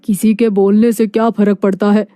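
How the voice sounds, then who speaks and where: A woman voicing a humble, proud poor husband in a moral story, calm and earnest. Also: medium pitch, moderate pace, soft background music, natural voice. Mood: reassuring. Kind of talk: animated moral story dialogue.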